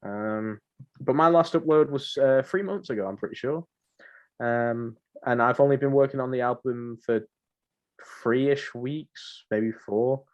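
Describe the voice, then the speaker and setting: A man's voice: mid-range voice, a little slow, dull audio. A man hosting a casual podcast chat, somewhat hesitant and often repeating his phrases. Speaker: podcast host.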